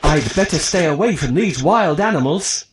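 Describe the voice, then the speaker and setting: A man's voice: a little high, lively intonation, normal speed, slight background noise, close to an everyday voice. A man voicing an earnest, determined young prince in a children's storybook, with careful, declarative enunciation. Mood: hopeful. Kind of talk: scripted children's storybook acting.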